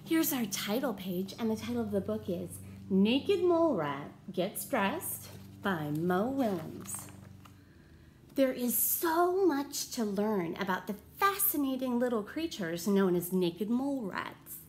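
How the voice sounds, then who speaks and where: A woman in her thirties reading a picture book aloud to children, with deliberate theatrical emphasis and questions to her listeners. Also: mid-range voice, sing-song, a little mellow, slight background noise. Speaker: read-aloud storyteller. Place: small room at home.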